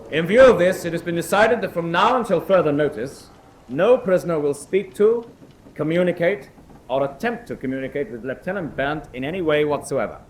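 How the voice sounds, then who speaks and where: Raised-pitch, formal wartime officer making an announcement acted by a man. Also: animated, a little fast, a little hiss or hum, lightly acted. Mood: formal, stern. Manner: formal, declamatory. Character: formal, stern senior officer addressing prisoners of war. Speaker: actor. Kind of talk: formal announcement in a radio drama.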